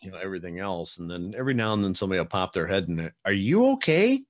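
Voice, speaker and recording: A man's voice: sits low, very animated, very fast. Informal, self-deprecating male podcast host. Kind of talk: casual interview chat. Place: small soundproofed home room. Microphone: studio microphone with pop filter, close.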